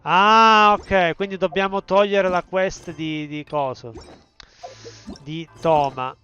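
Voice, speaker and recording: A man's voice: sits high, steady tone, takes it slowly, clean tone, no room sound, dull audio, soft background music. A man from Italy commentating casually over a video game, thinking aloud. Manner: thinking aloud, mumbling, uneven loudness. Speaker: streamer.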